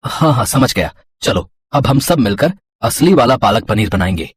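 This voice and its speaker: Male actor as caring farmer father in cartoon tale; very husky, animated delivery. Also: mid-range voice, moderate pace, no echo. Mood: cheerful, eager. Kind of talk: cartoon story voice acting.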